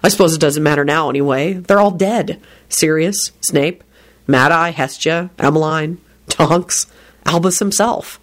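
Woman doing a weary, wry, low-voiced man. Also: a little slow. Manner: muted, wry, muttering. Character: weary, wry, kindly middle-aged man, melancholy.

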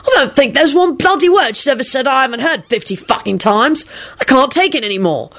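A woman's voice: high-pitched, expressive, fairly quick, a bit bright, voice pushed up, exaggerated voice. A woman voicing an irritable, foul-mouthed young man in a story, snappish and exasperated.

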